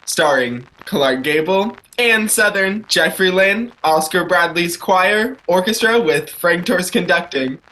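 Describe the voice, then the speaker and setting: An actor plays a genial male host of a 1940s radio theater. The delivery is announcer-style, with a bright, forceful tone. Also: medium pitch, lively intonation, slow, hardly any pauses, poor audio. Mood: polished, upbeat.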